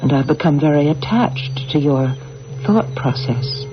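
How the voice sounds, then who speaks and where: An actress playing an earnest, romantic alien woman in a 1980s radio drama, speaking in a measured, formal way. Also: sits low, animated. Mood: tender, persuasive. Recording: dull vintage radio recording with music.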